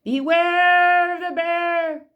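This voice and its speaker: A woman's voice: very high-pitched, fairly even intonation, very slow, highs cut off, pitched above the performer's own voice, heavily acted. A woman voicing a big, hungry, menacing bear in a growly, bellowing storybook voice. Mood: booming menace.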